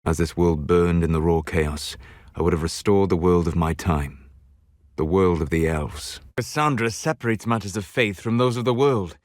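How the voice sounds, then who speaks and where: A man voicing a calm, scholarly elven mage in a video game, proud and melancholy, speaking in measured, formal, deliberate phrases. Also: low voice, no echo, clean studio sound, natural voice. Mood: solemn, resolute. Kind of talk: video game character dialogue.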